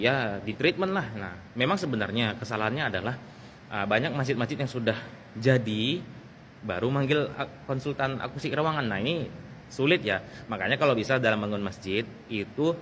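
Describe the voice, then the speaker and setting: A man's voice: mid-range voice, fairly bright tone. Man explaining casually over background noise. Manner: repeats phrases for emphasis, conversational. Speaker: sound technician and video host. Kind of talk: casual explanatory vlog talk.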